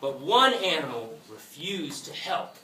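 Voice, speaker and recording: A woman hosting a live, interactive story for children in an animated, folksy, exclamatory way. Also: sits low, a little slow, a crowd in the background. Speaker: puppet show host and storyteller. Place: indoor room with an audience. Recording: echoey room, distant mic, music.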